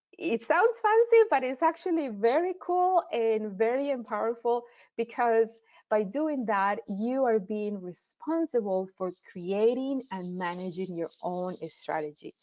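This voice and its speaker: A woman's voice: mid-range voice, dry recording. A woman in her thirties from Colombia with a Colombian Spanish accent, teaching a marketing class and giving the audience direct, encouraging instructions. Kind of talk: online class lecture. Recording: dull lo-fi sound.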